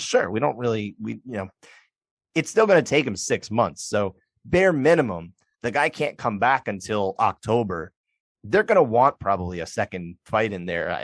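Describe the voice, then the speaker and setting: A man's voice: on the low side, dry recording. A man co-hosting a podcast, talking casually and at length, often restarting his sentences. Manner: chatty, restarts often, puts on quick voices for others. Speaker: podcast co-host. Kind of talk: casual sports chat.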